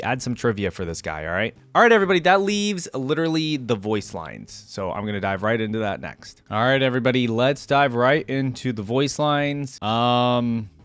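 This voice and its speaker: A man hosting a reaction video, chatting casually to his viewers in an animated way and laughing often. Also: medium pitch, dry recording. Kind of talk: casual chat reacting to game audio.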